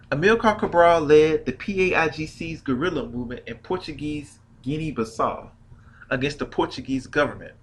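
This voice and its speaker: Bright-voiced man reading a history lecture. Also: on the high side, slight background noise. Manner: reads a prepared script with occasional slips and restarts. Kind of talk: scripted historical narration.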